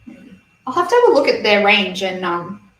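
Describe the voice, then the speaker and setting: A woman's voice: mid-range voice, big pitch swings, normal speed, fairly bright tone. Chatty young woman, roomy distant mic. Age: 20s. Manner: chatty, giggly, reads comments aloud. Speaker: beauty channel host. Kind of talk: casual livestream chat reading viewer comments.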